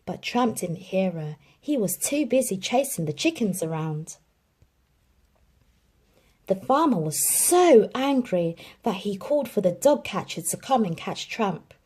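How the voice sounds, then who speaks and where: Woman, children's story read-aloud, husky tone, lively melodic delivery. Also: medium pitch, measured pace. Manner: expressive storytelling. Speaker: children's book reader.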